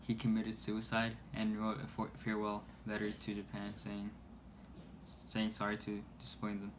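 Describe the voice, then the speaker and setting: Monotone man reading haltingly, low voice. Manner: halting, stumbles over words while reading. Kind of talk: scripted news report read aloud. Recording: noisy amateur recording.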